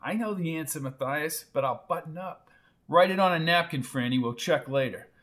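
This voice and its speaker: A man voicing an easygoing team coach who is calm, authoritative and gently teasing. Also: fairly high pitch, moderate pace, lightly acted.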